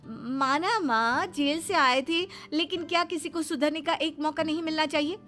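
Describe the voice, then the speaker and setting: A woman's voice: sits high, normal speed, fairly bright tone, soft background music. A woman voicing a cocky, cheeky young daughter-in-law in a Hindi moral-story cartoon, theatrical and boastful. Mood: defiant.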